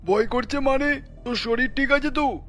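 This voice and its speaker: A man's voice: extremely high pitch, moderate pace, a bit bright, soft background music. A man voicing a teasing, jovial middle-aged father in a cartoon, with a hearty, sly manner. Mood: concerned. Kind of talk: cartoon story dialogue.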